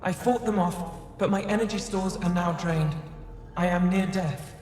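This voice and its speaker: A woman's voice: lowish voice, moderate pace, a little mellow, a little hiss or hum, natural voice. Woman as ancient machine intelligence, female, formal, weary and bitter, game dialogue, long echoing reverb. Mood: guarded, formal. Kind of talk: video game voice acting. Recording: echoey hall with long reverb.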